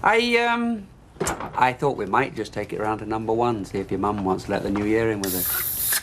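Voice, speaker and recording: An actor playing a bitter, self-pitying middle-aged stepfather in a TV soap drama, who swings between shouting and pleading. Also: lowish voice, animated, normal speed, noisy background, close to an everyday voice. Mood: weary. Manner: flares into shouting, then pleads.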